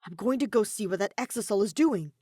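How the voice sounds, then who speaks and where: A woman's voice: mid-range voice, lively intonation, brisk, mellow, no room sound, studio quality, lightly acted. Woman voicing an anxious, evasive teenage boy. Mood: flustered excuses.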